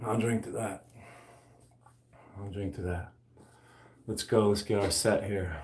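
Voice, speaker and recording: A man's voice: fairly low pitch, fairly even intonation, unhurried. A man in his forties chatting casually and joking about himself between sets of weightlifting in his home gym. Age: 40s. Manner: joking, self-deprecating banter between sets. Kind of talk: casual chat while lifting weights. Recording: roomy echo.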